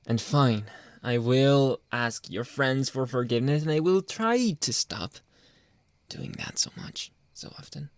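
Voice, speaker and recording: Slow, gravelly man acting a jealous clingy boyfriend. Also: medium pitch, lively intonation, dry recording. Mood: conceding, evasive. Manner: halting, pleading, breathy drops into whispers. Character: jealous, controlling, clingy young boyfriend pleading for forgiveness. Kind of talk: intimate ASMR boyfriend roleplay. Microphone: close, dry microphone.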